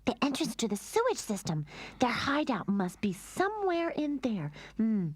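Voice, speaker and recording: A woman voicing a bold young female mouse detective in a cartoon, brisk and expressive as she takes charge. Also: fairly high pitch, slightly rough, no echo. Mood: plotting. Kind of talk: cartoon dub acting.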